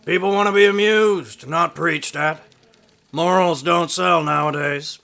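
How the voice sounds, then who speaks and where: A man's voice: on the high side, measured pace, creaky, bright, forceful tone, full character voice. A man playing a curt, businesslike newspaper editor in an audio drama, matter-of-fact and dismissive. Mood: brusque, businesslike.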